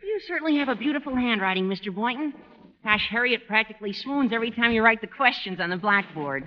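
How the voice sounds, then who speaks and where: A man playing an eager, gushing, lovesick teenage schoolboy with a squeaky voice in an old radio sitcom. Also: expressive, quick pace. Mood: bashful, gushing. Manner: gushing, adolescent. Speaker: actor in radio comedy. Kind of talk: 1940s-50s radio sitcom acting. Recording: dull old radio recording, faint audience.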